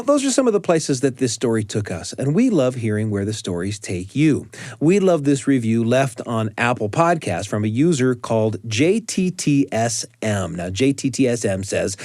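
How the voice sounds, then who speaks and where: A man hosts a family storytelling podcast conversationally. His average-pitched voice moves up and down a lot, recorded dry with faint music behind. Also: darkish tone, dry recording. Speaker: podcast host.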